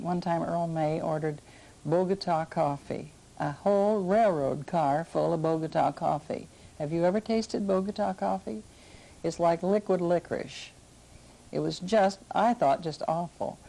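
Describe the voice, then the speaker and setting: A woman's voice: on the low side, normal speed, creaky, mellow, rough recording. An older woman casually reminiscing as an interviewee, telling stories in a conversational way. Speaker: interviewee recalling local history.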